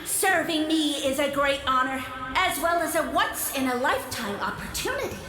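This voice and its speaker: Game role: pompous, touchy little mushroom sovereign, high and echoing, formal and condescending. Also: female voice, expressive, moderate pace. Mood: haughty, condescending. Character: pompous mushroom lord, small, haughty and easily offended. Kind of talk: video game voice acting. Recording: roomy echo over game music.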